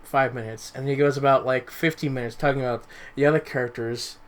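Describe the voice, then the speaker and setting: A man chatting casually as a podcast co-host, halting and repeating words as he starts his sentences. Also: medium pitch, steady tone. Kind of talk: casual chat.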